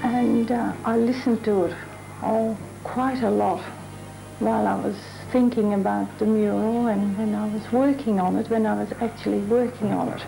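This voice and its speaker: A woman from the Netherlands, a mural artist, talking thoughtfully in a documentary interview and pausing to find her words. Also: mid-range voice, fairly even intonation, creaky, over music, rough recording. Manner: chatty.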